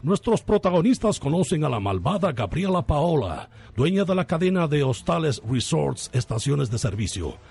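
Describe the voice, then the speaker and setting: Guy hosting radio, super lively and mock dramatic, bit of music behind. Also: mid-range voice. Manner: theatrical, tongue-in-cheek. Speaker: radio host and narrator. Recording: faint music under the voice.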